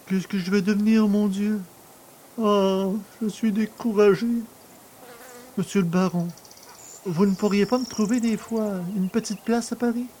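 Hapless poacher played by a man, slightly high voice, plaintive and self-pitying, audio drama. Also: steady tone, a little slow, warm tone. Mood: downcast, pleading. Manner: plaintive, theatrical pleading. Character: hapless, pleading male poacher wronged by justice, self-pitying. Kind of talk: French audio drama acting.

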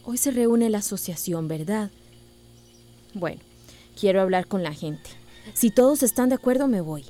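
Mellow-voiced, determined young schoolteacher played by an actress. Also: female voice, medium pitch, expressive, moderate pace, faint music behind, close to an everyday voice. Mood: hurt, defiant. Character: proud, determined young woman schoolteacher, stubborn and frank. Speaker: actor in a radio drama. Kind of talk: radio soap opera acting.

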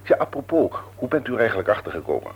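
An actor playing a formal, self-important company boss in a Dutch radio play, pompous and emphatic. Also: male voice, on the low side, big pitch swings, rapid-fire, a little hiss or hum. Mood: pompous, pleased. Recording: muffled old radio play recording.

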